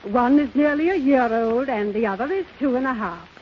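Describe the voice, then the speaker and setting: A woman's voice: high-pitched, animated, a little fast. An actress playing a genteel, easily flustered mother with a proper, hesitant manner in a 1930s radio comedy. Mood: prim. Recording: muffled, faintly noisy 1930s radio recording.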